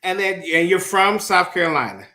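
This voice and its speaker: A man in his late thirties hosting a casual interview, animated and chatty, laughing often with lots of fillers. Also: high voice, fairly quick. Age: 30s. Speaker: host.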